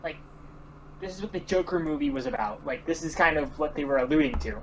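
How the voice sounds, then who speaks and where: A man's voice: fairly high pitch, sing-song, fast, gravelly, fairly bright tone, slight background noise, poor audio. A man giving casual, off-the-cuff co-host reactions and commentary. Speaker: comic-book review show co-host.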